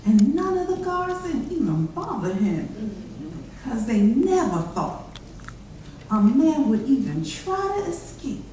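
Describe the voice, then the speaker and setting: Lively woman storyteller in an echoey hall. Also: high voice, takes it slowly. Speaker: storyteller in readers theater. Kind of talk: animated folk-tale narration. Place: large echoey chapel during a live performance. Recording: very echoey hall, distant mic, faint noise.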